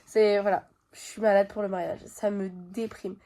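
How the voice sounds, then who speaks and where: A woman's voice: medium pitch, level tone, normal speed, warm tone. A woman vlogging from her bedroom at home with a stuffy nose from a cold, chatting straight to her viewers with plenty of fillers. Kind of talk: casual chat to camera.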